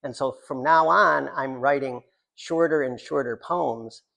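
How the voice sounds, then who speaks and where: Gravelly older American man, wryly self-deprecating. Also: medium pitch, measured pace, bright, forceful tone. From Central New York, United States. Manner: wry, self-deprecating humour. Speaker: poet reading from own book. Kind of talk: informal author talk at a poetry reading.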